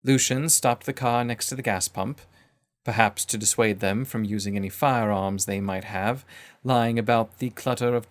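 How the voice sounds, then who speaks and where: A man narrating a first-person adventure story in a measured, formal, literary manner. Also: lowish voice.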